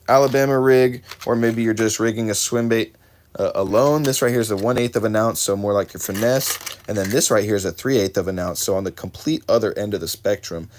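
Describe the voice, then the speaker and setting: A man's voice: mid-range voice, noisy background. A man hosting a live unboxing, chatting casually with viewers and correcting himself as he goes, his voice a little worn. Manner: chatty, talks to chat viewers by name, self-correcting. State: slightly losing his voice. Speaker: fishing livestream host.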